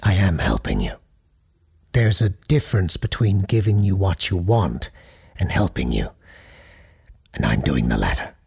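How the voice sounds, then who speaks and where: A man playing a solemn parapsychologist who hosts a late-night call-in show in an audio drama, speaking formally and deliberately in a husky, gravelly voice. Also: sits low, big pitch swings, a little mellow, heavily acted. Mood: firm, solemn. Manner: formal, deliberate, halting pauses. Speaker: radio call-in host. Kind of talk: scripted audio drama, deliberate radio monologue.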